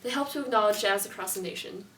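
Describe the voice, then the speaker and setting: Distant, roomy sound. Period correspondent played by a woman, mid-pitched scripted report. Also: fast talker, close to an everyday voice. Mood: matter-of-fact. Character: female 1920s newscast music correspondent. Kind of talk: scripted amateur mock newscast. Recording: roomy distant mic, faint noise.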